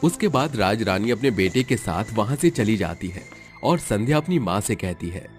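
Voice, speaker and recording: Guy telling a dramatic scripted story, kinda low voice, music playing under it. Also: animated, normal speed. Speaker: story narrator.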